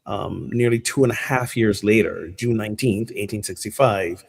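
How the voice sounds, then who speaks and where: A man from Barbados, a minister giving a relaxed, conversational sermon full of fillers over a video call. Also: lowish voice, normal speed, gravelly, breathy edge. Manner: relaxed and chatty, many fillers. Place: home abroad.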